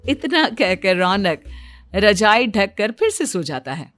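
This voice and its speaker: Female narrator, mid-pitched, scripted storytelling for an animated moral tale, light music bed. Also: slow pace, dry recording.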